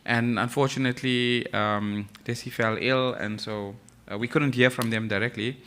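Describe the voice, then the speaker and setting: A man's voice: medium pitch, normal speed, a little hiss or hum. Man moderating a conference panel. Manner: relaxed, informal, with many fillers. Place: conference hall with an audience.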